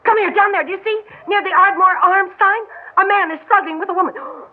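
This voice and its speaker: An anxious, high-voiced radio drama wife. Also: female voice, fast. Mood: frightened. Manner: urgent, pleading, emotional. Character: anxious, insistent young wife, horrified by trouble outside. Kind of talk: old-time radio drama acting. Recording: tinny, noisy vintage radio broadcast.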